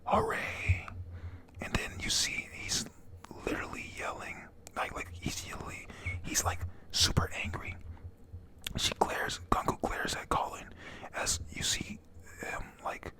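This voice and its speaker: A person whispering a casual, halting, slangy retelling of a manga chapter, panel by panel, reading out the characters' lines. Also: bright, forceful tone. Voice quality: breathy unvoiced whisper. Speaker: manga reader narrating.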